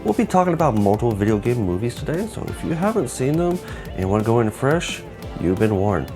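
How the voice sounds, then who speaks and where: A man's voice: medium pitch, very animated, a little fast, music in the background. A man narrating a scripted review as host, with emphatic, rhetorical phrasing. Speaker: host of a video game movie review show.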